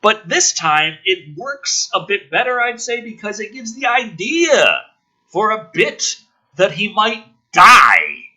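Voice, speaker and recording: A man's voice: sits high, wildly swooping pitch, slow pace, bright, forceful tone. A man giving a ranting, sarcastic commentary as he reads a screenplay aloud, profane and exasperated. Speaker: host of a movie script review.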